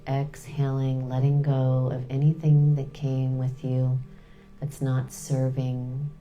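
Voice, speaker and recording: Very deep-voiced woman guiding a slow meditation. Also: monotone, dark tone, faint music behind. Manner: soothing, unhurried, pausing between short phrases. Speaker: guided meditation leader.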